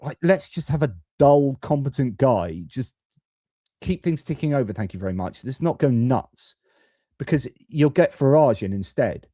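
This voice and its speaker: A man's voice: mid-range voice, lively intonation, moderate pace, mellow, no room sound, clean studio sound. A British man hosting a political live stream, talking off the cuff in an informal, opinionated, chatty way. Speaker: host of a geopolitics live stream. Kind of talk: casual political commentary.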